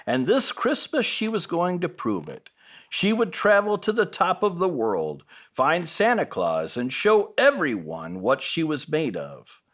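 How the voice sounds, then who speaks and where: Slow, very expressive man reading bedtime stories. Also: a little high. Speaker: storyteller reading as Santa.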